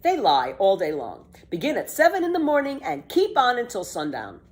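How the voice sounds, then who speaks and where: Boastful, carefree little girl, acted by a woman, storybook tall-tale teller, emphatic, very animated, raised pitch. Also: normal speed. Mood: earnest, persuasive. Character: bold, boastful, exuberant little girl telling tall tales. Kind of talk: children's storybook read-aloud.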